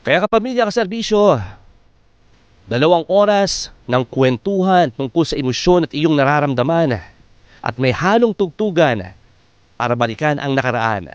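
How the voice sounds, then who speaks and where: A man's voice: on the high side, animated, normal speed, smooth, dry recording, muffled recording. A Filipino man hosting a radio advice show, talking conversationally and coaxingly straight to his listeners. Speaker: radio host of late-night relationship advice show. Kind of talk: conversational live radio talk in Tagalog-English.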